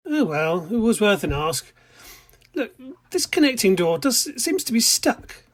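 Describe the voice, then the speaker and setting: A man playing a pushy, hungry train passenger in an amateur radio drama, with a husky voice. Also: sits high, big pitch swings, measured pace. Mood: wheedling. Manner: wheedling, then confrontational. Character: pushy hungry male passenger from the next carriage.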